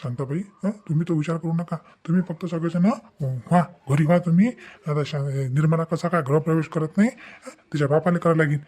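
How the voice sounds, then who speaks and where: A man's voice: fairly high pitch, brisk, darkish tone, natural voice. A man playing an assertive older father-in-law with a Varhadi Marathi accent in a cartoon family drama, insistent and repeating his reassurances. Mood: reassuring, determined. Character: assertive older father-in-law determined to help the young couple.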